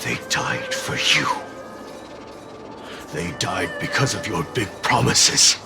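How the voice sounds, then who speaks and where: A bloodthirsty male spirit in a dramatic game scene. The voice is low and gravelly, swinging theatrically between coaxing and scorn. It taunts with open menace over clear music. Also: animated, slow, heavily acted. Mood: menacing. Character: sinister, mocking male spirit companion, bloodthirsty, tempting, scornful. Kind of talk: dramatic video game voice acting.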